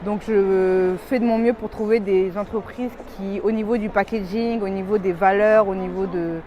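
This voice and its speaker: A woman from West Africa answering interview questions casually, pausing to search for her words. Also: mid-range voice, steady tone, lo-fi sound. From born in Belgium, grew up in West Africa. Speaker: entrepreneur being interviewed. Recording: noisy urban ambience behind voice.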